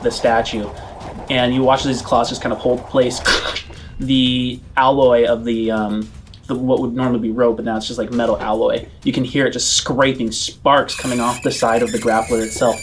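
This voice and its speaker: A man's voice: mid-range voice, fairly even intonation, moderate pace, slight background noise. A man running a tabletop role-playing game as game master, narrating and explaining in a conversational way.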